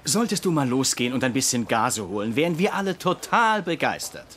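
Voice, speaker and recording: An actor playing a gruff, bullying man with a biting, sarcastic manner in a German-dubbed TV comedy. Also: medium pitch, sing-song, a little fast, fairly bright tone, a little hiss or hum. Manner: biting, bossy.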